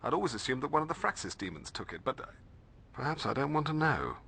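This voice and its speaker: Stuffy british librarian mentor from a video game, formal, expressive pitch, bit of fry. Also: male voice, quick pace, slight background noise. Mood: exasperated. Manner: formal, precise, hesitant when puzzled. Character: bookish, fussy male librarian mentor, middle-aged.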